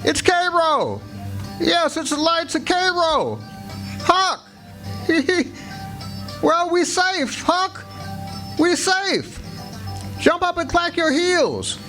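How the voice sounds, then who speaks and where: An actor playing a kindly runaway slave in a radio play, speaking an exclamatory folksy dialect. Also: male voice, extremely high pitch, big pitch swings, extremely slow, long pauses, bright, forceful tone, heavily acted. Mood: excited, joyful. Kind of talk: old-time radio play acting. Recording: live stage performance with music behind.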